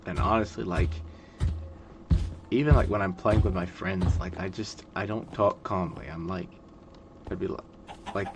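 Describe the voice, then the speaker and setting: A man in his twenties giving calm, monotone, rambling gameplay commentary, full of fillers. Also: sits low, moderate pace, long pauses, over music. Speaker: gaming video creator. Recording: loud background noise.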